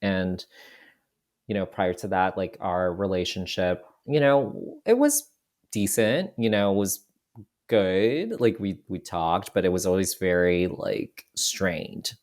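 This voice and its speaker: A man's voice: sits low, lively intonation, slow pace, darkish tone. A young man whose first language is Cantonese, a podcast guest talking reflectively, with frequent restarts and "you know" fillers. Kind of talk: reflective casual conversation.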